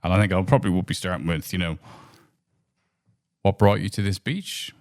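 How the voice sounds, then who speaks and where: A man's voice: low voice, fairly quick, darkish tone, no echo, clean studio sound. A man with an Irish accent co-hosting a podcast, talking in a reflective, thoughtful way with frequent restarts. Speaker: podcast co-host. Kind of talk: casual podcast chat.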